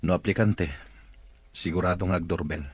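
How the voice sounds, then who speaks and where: A man's voice: low-pitched, fairly even intonation, normal speed, husky, no echo. A man playing an irritable, suspicious boss in a wheelchair in an Ilocano radio comedy-drama. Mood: curt, suspicious.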